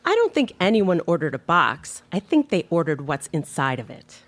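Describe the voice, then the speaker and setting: A woman's voice: fairly low pitch, huge pitch swings, normal speed. A woman playing a mild, evasive mid-century housewife in a live radio play, sounding innocent and vague. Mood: placating, evasive.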